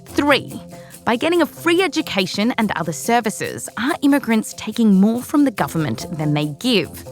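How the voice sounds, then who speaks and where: A woman's voice: on the high side, very animated, moderate pace, soft background music. An Australian woman hosting a science podcast in a scripted narration with playful, jokey asides. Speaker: podcast host.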